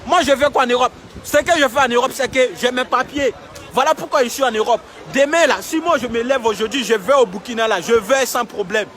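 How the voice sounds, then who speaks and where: Young male streamer, very high-pitched and insistent, phone mic outdoors, faint crowd behind. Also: brisk, fairly bright tone. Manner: emphatic, repetitive, urging viewers. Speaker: live-streaming vlogger. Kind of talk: impassioned outdoor livestream. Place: outdoors in a city street camp. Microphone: phone held while filming.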